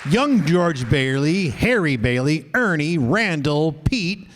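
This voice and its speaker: A man's voice: fairly high pitch, big pitch swings, takes it slowly, a faint crowd. A man announcing cast credits live on stage at a show. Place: live venue with an audience. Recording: noisy live audience recording.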